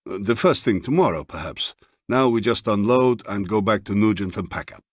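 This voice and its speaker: A man voicing a mild, worried, cautious husband in a story reading, measured and reserved. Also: fairly low pitch, lively intonation, no room sound, studio quality. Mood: reluctant.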